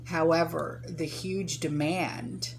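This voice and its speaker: A woman's voice: sits low, slow pace, low-quality recording. A woman hosting a prepping channel, chatting casually to viewers and often checking in with "okay?" and "right?". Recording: steady hum behind the voice.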